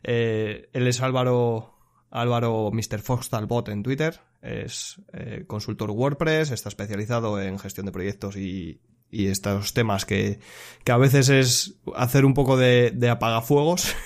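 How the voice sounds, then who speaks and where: A man hosting a podcast, talking casually and hesitantly with frequent fillers. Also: medium pitch. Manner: relaxed, hesitant with many fillers and restarts. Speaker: podcast host.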